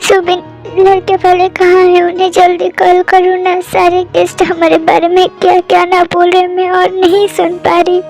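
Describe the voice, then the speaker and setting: Young performer doing anxious mom, urging, very high voice, faint music. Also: completely flat delivery, moderate pace, darkish tone. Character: anxious mother of the bride, middle-aged.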